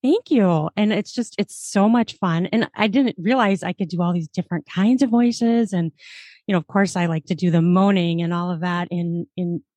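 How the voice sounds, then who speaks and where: A woman's voice: fairly low pitch, moderate pace, a little mellow, no room sound. A woman, a podcast host and audiobook narrator, chatting casually and laughing often, recorded in a small foam-lined home booth. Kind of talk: casual chat.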